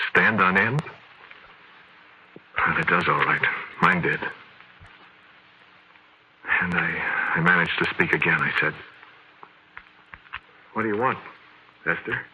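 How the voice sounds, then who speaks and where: An actor playing a shaken, haunted man who confides a ghostly encounter in halting, emphatic phrases, in the style of old-time radio horror. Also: low voice, bright, forceful tone, husky, full character voice, poor audio. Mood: uneasy, awed. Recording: vintage radio recording.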